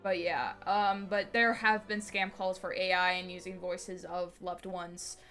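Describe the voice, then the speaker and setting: A woman's voice: on the low side, flat delivery, a little slow, fairly bright tone. Young female streamer, slight lisp, rambly and full of fillers, faint music underneath. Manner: rambling, many fillers and restarts, laughs often. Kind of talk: casual live-stream chat.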